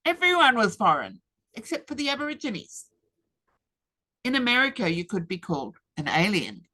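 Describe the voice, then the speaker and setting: A woman, giving a rehearsed webinar presentation in a measured, deliberate way with emphatic pauses. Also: medium pitch, huge pitch swings, bright, forceful tone. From Australia. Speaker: speaker. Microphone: webinar computer mic.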